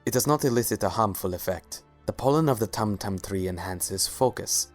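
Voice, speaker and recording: Man as suave, low-voiced regal sultan. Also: soft background music, close to an everyday voice. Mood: amused, charming. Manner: measured, formal, polite. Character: suave, regal, courtly male alicorn sultan. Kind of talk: fan-fiction dramatic reading.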